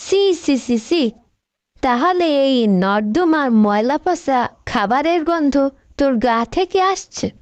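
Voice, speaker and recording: A woman's voice: high voice, expressive, slow pace. A woman voicing a bossy, scolding fallen female leader with dramatic, mocking delivery in a comic cartoon. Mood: scolding, disgusted.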